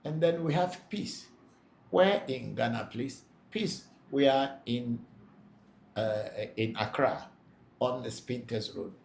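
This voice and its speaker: Gravelly-voiced man in an echoey room. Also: medium pitch, takes it slowly, darkish tone. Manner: plain-spoken, repeats himself for emphasis. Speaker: Vodu priest hosting a live Q&A. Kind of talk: live Q&A, reading out and answering questions. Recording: echoey room, faint background noise.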